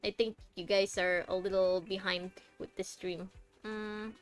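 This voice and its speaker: A woman's voice: medium pitch, level tone, a little slow, soft background music. A young woman streaming a game, chatting casually and animatedly with her viewers, laughing often and greeting them by name. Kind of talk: casual live-stream chat.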